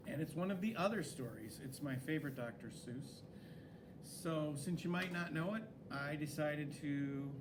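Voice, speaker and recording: A man reading a children's story aloud in an expressive, dramatic way, with swooping emphasis. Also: a little high, noisy background. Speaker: story reader.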